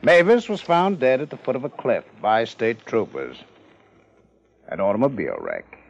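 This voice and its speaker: An actor playing a police official in a 1950s radio drama, speaking in a formal, precise, dry manner. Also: male voice, mid-range voice, sing-song, moderate pace, gravelly. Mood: dry, matter-of-fact. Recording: dull old 1950s radio recording.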